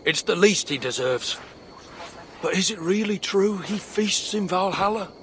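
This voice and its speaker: A man's voice: high voice, expressive, a little slow, a bit bright, slight background noise. An actor playing a grumbling, grieving dwarf smith in a video game, blunt and salty in his talk. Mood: grieving, bitter. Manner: blunt, colloquial, salty-tongued. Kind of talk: video game dramatic acting.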